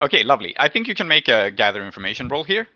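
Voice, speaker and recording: A man's voice: medium pitch, sing-song, rapid-fire, lo-fi sound. A man running a tabletop game as game master, improvising the story and thinking aloud as he goes.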